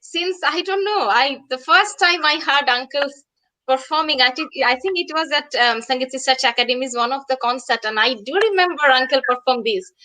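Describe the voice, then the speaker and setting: A woman hosting a casual online interview, eager and warmly insistent. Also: high-pitched, a little fast, poor audio. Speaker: host.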